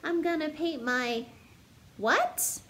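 A woman in her thirties reading aloud and talking to young children like a teacher, in an expressive, deliberate, sing-song manner. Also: high voice, slight background noise. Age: 30s. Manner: slow, deliberate, animated teacher delivery addressing children. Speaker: first grade teacher hosting a children's story time. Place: living room at home, indoors.

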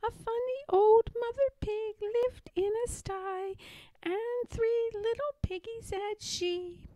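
A woman's voice: very high voice, slow pace, mellow, no room sound, voice pushed up. A woman voicing a bold, cheerful little girl pig in a storybook, with a small grunty voice. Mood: singing sweetly. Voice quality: small, grunty, sing-song. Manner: cheerful, decided, demanding.

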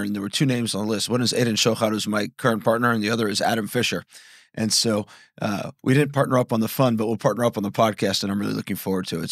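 Fast-talking man hosting a podcast. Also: lowish voice, no echo, clean studio sound. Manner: eager, curious questioning. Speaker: podcast host. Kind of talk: conversational interview. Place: small podcast studio. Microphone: studio mic on boom arm.

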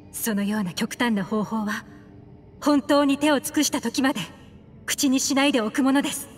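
A woman playing a composed, principled young advisor in an anime-style game, speaking politely and reasoning in a firm, measured way. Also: sits high, lightly acted. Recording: reverberant, with music behind.

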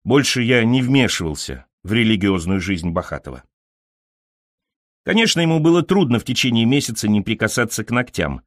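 A man reading a first-person literary story as an audiobook narrator, in a measured, expressive storytelling manner on a close studio microphone. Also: on the low side, dry recording.

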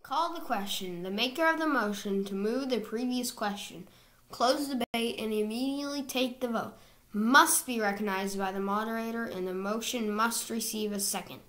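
Woman narrator, scripted slide voice-over, even and measured, a touch high. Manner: even, measured reading of rules.

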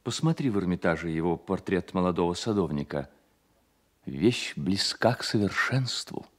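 An actor playing an earnest, loyal young baron poet, speaking in a measured Soviet radio drama style. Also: male voice, low-pitched, expressive. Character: earnest, loyal baron poet Delvig, man in his twenties.

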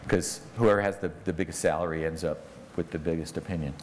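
A man's voice: low voice, steady tone, fast, slight background noise. A middle-aged man giving a conference talk on stage, speaking conversationally and often ending points with "right?", on a lapel mic. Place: large conference hall on stage. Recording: long reverb of a large hall.

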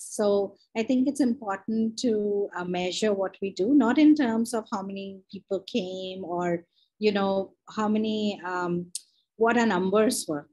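A woman's voice: mid-range voice, darkish tone. A young adult woman from India, a university librarian, presenting a webinar over a video call in a conversational way, with many fillers and self-corrections.

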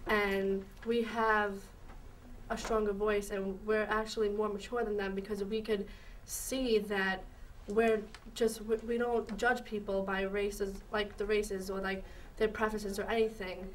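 A woman's voice: medium pitch, level tone, measured pace, far from the mic, slight background noise, rough recording. A teenage girl speaking casually in an interview, rambling with many fillers, in a school library. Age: teens. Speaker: student in program.

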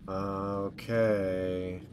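Laid back guy streaming a game, low voice, very slow short mutters, faint noise. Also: level tone, a little mellow. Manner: laconic, muttering short remarks. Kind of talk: casual gameplay commentary.

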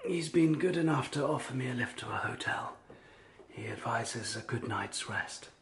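An actor playing an unwell, estranged husband in a period radio drama, earnest and formally spoken. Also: male voice, mid-range voice, lively intonation, moderate pace, fairly bright tone. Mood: reassuring. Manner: earnest, formal old-fashioned phrasing. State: unwell with a chill and congested chest. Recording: noisy track with hiss and clicks.

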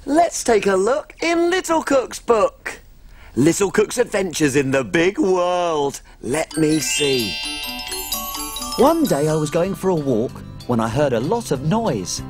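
A woman's voice: on the low side, big pitch swings, a little slow, over music. A woman voicing a tiny, cheerful female cook who tells stories and makes animal noises on a children's show. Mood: storytelling. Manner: bouncy, sing-song, makes animal noises. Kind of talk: children's TV acting, storytelling.

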